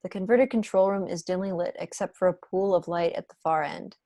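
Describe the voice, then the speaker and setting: A woman's voice: fairly low pitch, a little fast, gravelly, dark tone, no echo. A woman reading screenplay stage directions as scripted narration in an online table read. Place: indoors on a video call.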